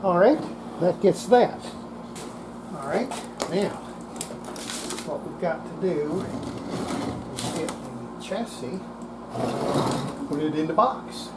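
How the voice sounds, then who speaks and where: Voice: mid-range voice, animated, takes it slowly, long pauses, very noisy. A person narrating hands-on restoration work in a casual way, talking through each step while working. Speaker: host demonstrating radio restoration.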